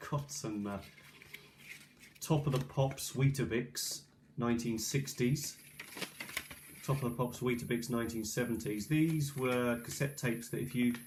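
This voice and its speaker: A middle-aged man giving a casual, rambling show-and-tell at a kitchen table, hesitant and musing aloud, recorded on an old tablet's microphone. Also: medium pitch. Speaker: video maker presenting old cassette tapes. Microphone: built-in microphone of an ageing iPad. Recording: clearly noisy tablet recording with clicks.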